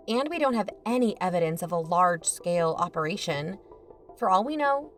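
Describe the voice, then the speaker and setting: An actress playing a cautious, level-headed podcast investigator in an audio drama. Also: female voice, on the low side, fairly bright tone.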